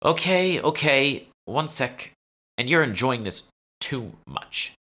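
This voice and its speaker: An exasperated, sarcastic heroine, acted by a male audiobook reader. Her voice is raised in pitch with a forceful edge. She sounds like an irritable, overworked assistant. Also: very animated, slow pace, heavily acted. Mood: flustered. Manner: sarcastic, profane.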